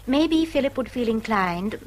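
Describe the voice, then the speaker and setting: An actress playing a brisk, controlling hostess, gracious on the surface and pointed beneath, in radio drama style. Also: female voice, fairly high pitch, lively intonation. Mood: icily polite. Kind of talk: British radio drama acting.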